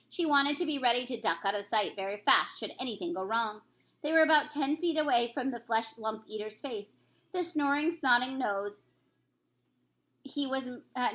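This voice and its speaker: A woman's voice: on the high side, a bit bright. A woman reading a children's storybook aloud as a teacher would, with occasional stumbles.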